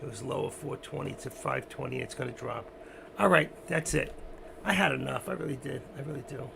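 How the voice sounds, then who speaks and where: A man hosting a casual trading livestream, rambling to himself and his chat with a hoarse voice. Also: medium pitch, brisk, background noise. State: losing his voice. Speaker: stock trading livestream host. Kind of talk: casual live market commentary.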